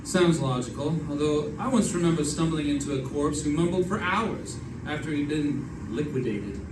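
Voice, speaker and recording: A droll, grumbling sidekick played by a man from an old radio mystery, fussy, sleepy and prone to ramble. His voice sits a bit high, in a roomy recording with clear noise. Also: expressive, normal speed, lightly acted. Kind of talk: old-time radio comedy-detective acting. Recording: roomy, distant, noisy stage pickup.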